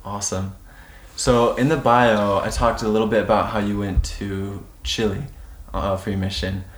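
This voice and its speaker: A man's voice: fairly low pitch, level tone, moderate pace. A man hosting a casual podcast interview, upbeat and enthusiastic, sharing one microphone with his guest. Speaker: podcast host. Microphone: single shared microphone.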